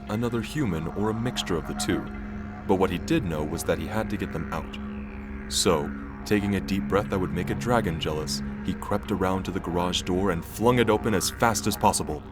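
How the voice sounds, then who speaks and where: An actor playing a droll, tongue-in-cheek storyteller, narrating a comic audio play in a dramatic style. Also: male voice, low voice. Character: droll, mock-epic male storyteller.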